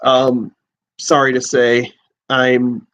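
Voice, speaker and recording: A man in his twenties from the USA, hosting a livestream from a small room at home, exclaiming and swearing with halting restarts. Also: mid-range voice, monotone, a bit bright. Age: 20s. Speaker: podcast host livestreaming. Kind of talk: excited casual livestream chat. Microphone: laptop and handheld phone. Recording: dull lo-fi stream audio.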